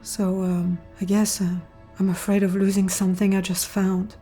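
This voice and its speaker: A woman's voice: medium pitch, level tone, moderate pace, mellow, soft background music, lightly acted. An actress playing a young woman in an audio drama, anxious and questioning, vulnerable but stubborn, in a naturalistic, hesitant manner.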